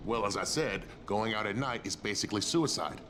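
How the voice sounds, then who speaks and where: Casting: blunt game survivor who hands out jobs, lowish male voice, sardonic, faint ambience behind. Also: a little fast, pushed and bright, a little hiss or hum. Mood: businesslike, grim. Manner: curt, sardonic, instructive. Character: gruff, no-nonsense male survivor handing out jobs. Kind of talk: video game dialogue acting.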